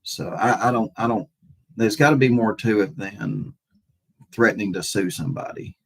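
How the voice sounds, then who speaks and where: A man with a fairly low, husky voice hosts a casual livestream. He speaks off the cuff to his viewers in a halting way, often circling back to repeat a phrase before moving on. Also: fairly bright tone. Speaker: livestream host talking with chat viewers.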